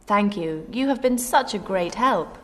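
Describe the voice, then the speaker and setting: A polite, lively tourist. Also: female voice, mid-range voice, measured pace. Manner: polite questioning. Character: curious female tourist.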